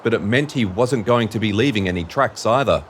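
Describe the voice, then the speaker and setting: A man's voice: on the low side, a little fast, slight background noise. A man reading scripted narration for an audio drama.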